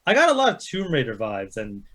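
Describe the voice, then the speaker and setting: Man, quick talker, swooping intonation, profane, blunt, casual opinionated panelist. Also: mid-range voice, wildly swooping pitch, sharp tone. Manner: heavily profane, blunt, ranting. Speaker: panelist ranking films on a chat show. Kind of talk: casual opinionated movie chat.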